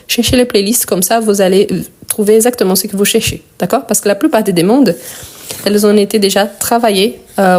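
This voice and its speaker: A woman's voice: mid-range voice, a little mellow. A French teacher whose first language is Portuguese, speaking with a Brazilian accent as she patiently walks through grammar during a live lesson. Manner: patient, repeats and spells out endings.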